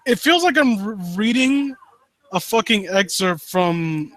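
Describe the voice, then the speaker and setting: Sarcastic man with a bright, forceful voice. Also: sits high, animated, measured pace, poor audio. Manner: sarcastic, mocking, sweary. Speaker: host reading and critiquing horror stories live. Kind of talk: casual riffing commentary with read-aloud passages.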